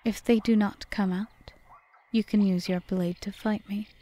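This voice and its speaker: A woman playing a cunning, possessive, seductive witch in an audio roleplay, speaking in a formal, measured, softly whispery way. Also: medium pitch, steady tone, lightly acted. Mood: calm, solemn. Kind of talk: ASMR audio roleplay, scripted monologue.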